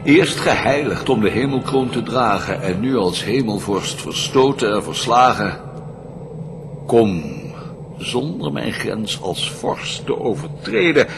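An actor playing the proud, vengeful fallen prince of hell in a radio drama, declaiming verse in a grandiose, brooding, scheming manner. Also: male voice, lowish voice, background noise. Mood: grand, defiant.

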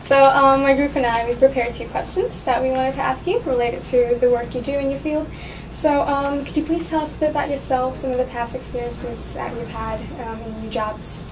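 A woman's voice: sits high, steady tone, fairly quick. A young woman, a student, politely asking prepared interview questions in a small office. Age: young adult. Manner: polite, soft backchannels. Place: small office meeting room, seated at a table. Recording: distant voice with room hum.

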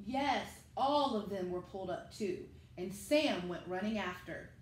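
A middle-aged woman, a school teacher, reading a picture book aloud to children and speaking to them directly in an animated way. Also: medium pitch, measured pace. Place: living room at home, indoors. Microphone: camera a few metres away. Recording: echoey room with faint noise.

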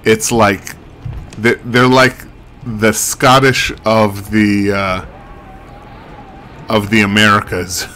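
A man's voice: fairly low pitch, little pitch movement, takes it slowly, pauses often, sharp tone, slight background noise. A man giving casual, rambling video game commentary in a wry, musing way, thinking aloud. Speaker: game streamer.

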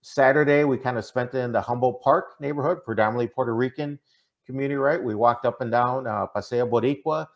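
A man's voice: mid-range voice, quick pace. Middle-aged man explaining on a video call. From Minnesota, United States. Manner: earnest, often checks in with "right?". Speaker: interview guest, diversity consultant. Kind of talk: conversational interview answers. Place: indoor room, on a video call. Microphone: video call microphone.

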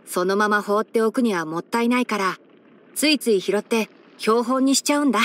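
A woman voicing a clever, gentle young male fox-eared ranger chief in a video game, with a light boyish tone and measured, softly wry, articulate delivery. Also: medium pitch, natural voice. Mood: reflective, gentle. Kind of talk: Japanese game character voice-over.

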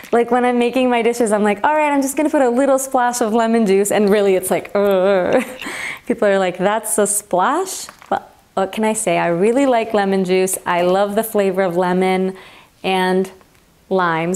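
A woman's voice: mid-range voice, darkish tone. Chatty young woman vlogging in her kitchen. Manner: chatty and upbeat, gestures a lot, shows groceries. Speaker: healthy eating vlogger. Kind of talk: casual talk to camera. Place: home kitchen, indoors. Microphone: clip-on lapel mic.

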